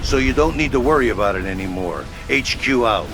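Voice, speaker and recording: Man playing a blunt military command officer, loud music behind. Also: mid-range voice, lively intonation, a little fast, close to an everyday voice, low-quality recording. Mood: blunt, irritated. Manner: clipped, commanding military radio talk. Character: gruff male military headquarters officer, urgent and blunt. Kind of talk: video game mission briefing.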